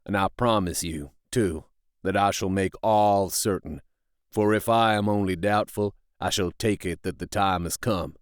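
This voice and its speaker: A man voicing a rough, humble man in an audiobook who swears a solemn oath in a low, strained voice. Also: unhurried, no echo, clean studio sound. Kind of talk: audiobook character reading.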